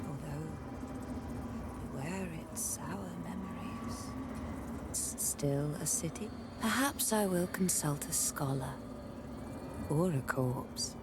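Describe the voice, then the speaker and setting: Mysterious, mournful fantasy figure: lowish voice, very slow poetic delivery, game cutscene. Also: female voice, very noisy. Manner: measured, archaic, theatrical phrasing. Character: sorrowful, cryptic, poetic woman of dark fantasy. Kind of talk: video game cutscene drama acting.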